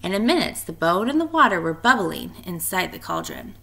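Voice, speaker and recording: A woman reading a storybook aloud in an expressive narrating style. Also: on the low side, a little fast, gravelly. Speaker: storybook reader.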